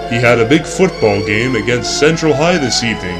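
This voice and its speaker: A man's voice: medium pitch, animated, fairly quick, loud music behind the voice. A young man reading scripted narration in the earnest, formal announcer style of a 1960s educational film. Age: young adult.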